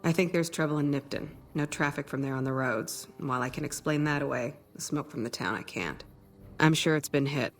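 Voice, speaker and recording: An actor playing a weary, blunt ranger sniper in a video game, with dry, sardonic delivery. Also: male voice, on the high side, a little fast, soft background music, close to an everyday voice. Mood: serious, businesslike. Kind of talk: video game dialogue acting.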